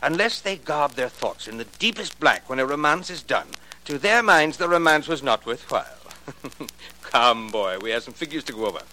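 A pompous, patronizing business manager. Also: male voice, mid-range voice, very animated, normal speed, pushed and bright, low-quality recording. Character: pompous older male business manager, smooth and condescending. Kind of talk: 1940s radio acting. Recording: old radio broadcast with faint hiss.

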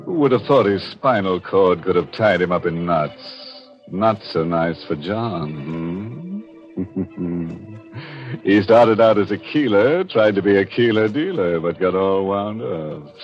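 An actor playing a tongue-in-cheek horror host on 1940s radio, sardonic and gloating over macabre puns. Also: male voice, sits low, lively intonation, takes it slowly, soft background music. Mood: mock sympathy. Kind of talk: 1940s radio mystery hosting. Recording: dull old radio recording.

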